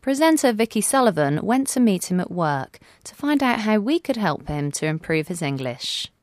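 A woman's voice: medium pitch, very animated, moderate pace, darkish tone, no echo, clean studio sound. A woman announcer reading a scripted introduction to a radio programme in a formal way.

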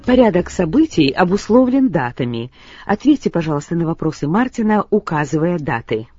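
Woman course narrator, mellow voice, lively intonation, lo-fi with faint music. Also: on the low side, fairly quick. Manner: clear, instructing. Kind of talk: scripted instructional narration. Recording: dull lo-fi audio with faint music.

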